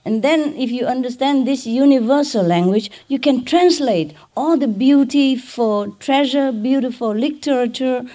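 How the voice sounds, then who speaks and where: A middle-aged woman with a Vietnamese accent giving an informal lecture in simple, halting English, checking in with her listeners. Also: high voice, dark tone. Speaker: spiritual teacher giving a lecture. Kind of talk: informal spoken lecture to a gathering. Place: large hall or tent with seated audience. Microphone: microphone on a boom stand.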